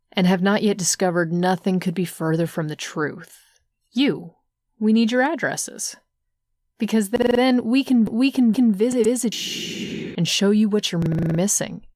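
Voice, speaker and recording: A woman playing a menacing cult-like voice that recruits listeners in a halting, scripted horror podcast announcement. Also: medium pitch, darkish tone, no echo, studio quality. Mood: eager, menacing. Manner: sinister, halting and repeating words. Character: menacing female cult-like voice from the dark, hungry for recruits.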